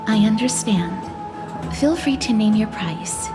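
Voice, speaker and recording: A woman's voice: medium pitch, expressive, unhurried, close to an everyday voice. Woman playing a reserved young lady, music behind. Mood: polite, reserved. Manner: formal, reserved, courteous. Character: polite, reserved, soft-mannered young lady. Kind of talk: video game voice acting.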